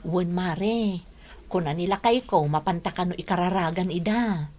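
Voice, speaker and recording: A woman's voice: lowish voice, normal speed, a little mellow, close to an everyday voice. A woman acting an earnest, pious, gossipy Filipino village neighbour in an Ilocano radio drama. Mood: persuasive.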